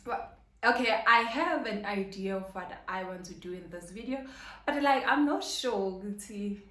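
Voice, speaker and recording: Slow, rambling woman vlogging. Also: mid-range voice, pushed and bright. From South Africa, KwaZulu-Natal. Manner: rambling, filler-heavy, earnest. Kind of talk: casual chat to camera. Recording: roomy echo, faint background hum.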